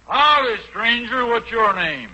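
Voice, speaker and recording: An actor playing a cocky Old West cowboy with a folksy, drawn-out delivery in 1940s radio comedy. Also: male voice, very high voice, very animated, bright, forceful tone, soft background music, full character voice. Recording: dull, noisy 1940s radio recording.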